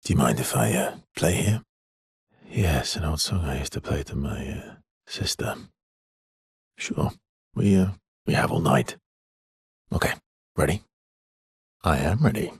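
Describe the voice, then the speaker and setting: An actor playing a weary, guarded immortal expeditioner in a dramatic video game, with a husky, breathy voice and an understated, hesitant, earnest delivery. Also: male voice, animated, a little slow, pauses often, dry recording, studio quality, exaggerated voice. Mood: hesitant, gentle. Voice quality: husky, breathy, gravelly low voice.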